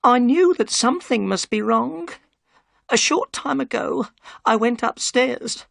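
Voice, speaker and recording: A narrator voicing a frightened old housekeeper woman who pleads in a high, shaky, tearful voice. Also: lively intonation, a little slow, vocal fry, a bit bright, dry recording, studio quality, full character voice.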